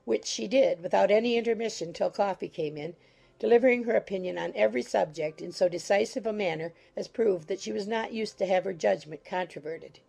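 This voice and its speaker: A woman's voice: mid-range voice, a little fast, creaky, dark tone, slightly rough. A woman reading scripted literary narration for a dramatized audiobook.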